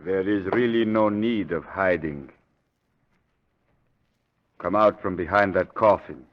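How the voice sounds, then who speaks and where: An actor playing an eerie, placid undertaker in an old radio mystery, courteous and morbidly serene. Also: male voice, low-pitched, takes it slowly. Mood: calm, creepy. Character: eerie, placid male undertaker fond of the dead. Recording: dull old radio recording.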